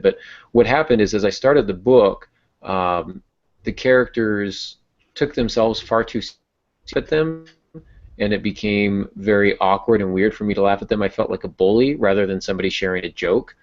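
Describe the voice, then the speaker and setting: A man's voice: fairly low pitch, normal speed. A man talking casually on a podcast as a host and novelist explaining his work. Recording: dull lo-fi voice recording.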